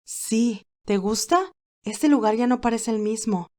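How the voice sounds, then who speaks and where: A woman's voice: on the high side, a little slow, mellow, natural voice. A woman voicing a modest, kind, easily flustered young nurse in an audio fan drama. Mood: modest, friendly. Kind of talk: acted audio fan fiction dialogue.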